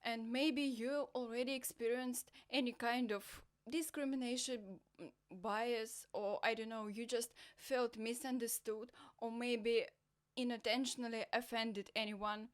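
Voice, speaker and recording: A woman with an Eastern European accent hosting a cross-cultural interview show, friendly and slightly halting. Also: fairly high pitch, steady tone. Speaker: host.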